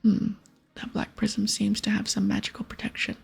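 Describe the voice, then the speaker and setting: Girl doing a stern old guild wizard, all whispery and breathy, formal game dialogue, soft music under. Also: mid-range voice, completely flat delivery, normal speed, full character voice. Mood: grave, measured. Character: busy, stern aged wizard of a magic guild.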